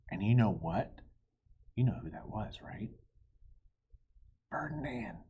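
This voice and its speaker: A man reading a children's story aloud in an expressive, dramatic way, addressing young listeners, with a breathy voice that often drops toward a hush. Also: medium pitch. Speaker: storybook reader. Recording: dull, muffled lo-fi audio.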